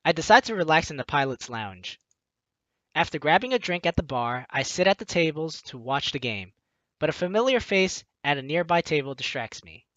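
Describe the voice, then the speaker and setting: Animated, higher-voiced man narrating a game. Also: normal speed, dry recording, lo-fi sound. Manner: expressive, acts out reactions. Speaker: let's play host. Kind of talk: reading a visual novel aloud with playful asides. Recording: music under the voice. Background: game music.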